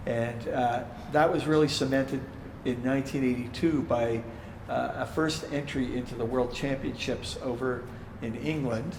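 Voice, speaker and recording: A man's voice: mid-range voice, fairly even intonation, unhurried. A man hosting an interview in a casual way, stumbling a little as he starts his sentences. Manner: casual, stumbling on opening words. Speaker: interviewer, host. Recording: echoey room, long reverb, faint noise.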